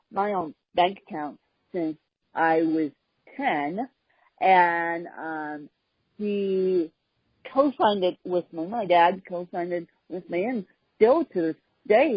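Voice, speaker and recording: A woman hosts a podcast chat in a husky voice, speaking very slowly. She talks haltingly, stopping often and filling gaps with "um". The recording is dry and a bit dull. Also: lowish voice, no room sound. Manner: halting, with frequent pauses and fillers. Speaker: podcast host. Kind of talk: casual conversational podcast chat.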